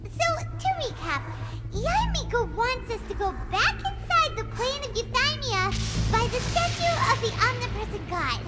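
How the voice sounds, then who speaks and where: A woman voicing a small, childlike fairy companion in a video game, chatty, anxious and excitable. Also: very high-pitched, moderate pace, heavily acted. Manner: refers to herself by name, exclaiming. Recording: music behind the voice.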